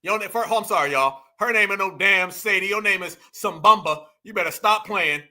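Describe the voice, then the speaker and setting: Brash, bright-voiced mocking commentator man. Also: sits high, brisk. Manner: mocking, brash, profane, addresses audience as fam. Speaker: commentary host. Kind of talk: heated reaction commentary.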